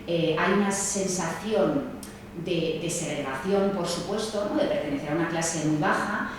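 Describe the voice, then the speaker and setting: A woman's voice: fairly low pitch, moderate pace, noisy background. A woman, a sociologist, speaking at a seminar in a hesitant way, with many fillers and tag questions. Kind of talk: seminar talk. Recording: echoey distant room mic, faint ambience.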